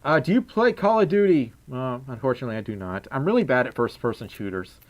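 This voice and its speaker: A man's voice: medium pitch, very animated, fast, dull audio. Giggly young man with a scratchy voice. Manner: playful and giggly, hums idly between chat messages. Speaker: streamer. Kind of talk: casual livestream chat reading donations.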